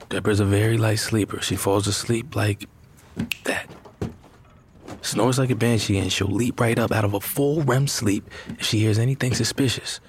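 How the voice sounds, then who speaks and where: An actor playing an ordinary, rattled and disbelieving husband in an audio drama, with a hesitant, conversational delivery. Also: male voice, fairly low pitch, noisy background, close to an everyday voice. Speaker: actor in a fiction podcast. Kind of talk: naturalistic audio drama acting.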